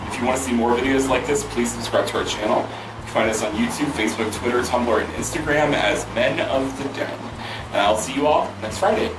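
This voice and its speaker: A man hosting a vlog, answering viewer questions in casual chat, hoarse with a worn-out voice and rambling with many fillers and restarts. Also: mid-range voice, a little fast. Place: outdoors near a pool with loud music. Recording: roomy, mic at a distance, loud music behind.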